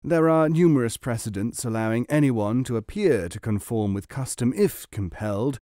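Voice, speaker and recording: A man's voice: mid-range voice, expressive, normal speed, mellow, no room sound, studio quality, close to an everyday voice. A man voicing a pedantic scholar who justifies himself in formal, lawyerly terms.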